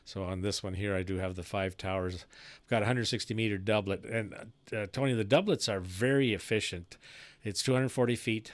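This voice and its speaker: A man hosting a casual amateur radio chat, talking technical shop in a halting, rambling way into a close studio microphone. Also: lowish voice, dry recording. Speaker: amateur radio operator and live-stream host. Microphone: close studio broadcast microphone.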